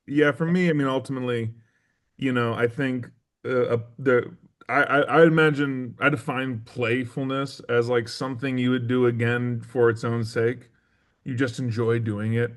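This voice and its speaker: Male interview guest, theatre maker. Thoughtful, philosophical delivery on a flat, narrow pitch, hesitant as he builds each idea. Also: moderate pace. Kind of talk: reflective interview talk.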